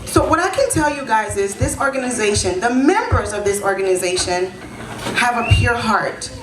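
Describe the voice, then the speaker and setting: A woman in her thirties, born in Haiti and raised in Florida, hosts a community celebration. Her voice sits a little high and carries with a roomy echo through the hall. She talks to the audience in a warm, conversational way, often checking in with them as she goes. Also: animated, normal speed. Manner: conversational, often adds "right?" to draw in the crowd. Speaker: event host and senior advisor. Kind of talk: speech at a community event. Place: event hall. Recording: echoey hall.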